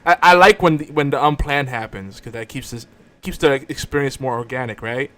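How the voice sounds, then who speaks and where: Casual male host, medium pitch. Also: a little fast, bright, forceful tone. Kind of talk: casual live show banter.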